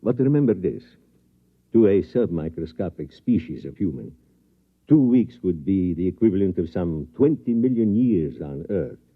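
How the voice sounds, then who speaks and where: A man acting in an old radio drama as a coolly confident scientist doctor, speaking in a formal, deliberate, courteous way. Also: low voice, very animated. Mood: persuasive, assured. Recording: old radio broadcast, dull band-limited sound.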